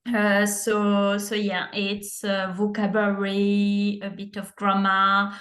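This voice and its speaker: A woman with a strong French accent talks slowly and unhurriedly as an interview guest. Her speech is hesitant, padded with many fillers and small laughs. She is heard over a video call. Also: medium pitch, monotone, a bit bright.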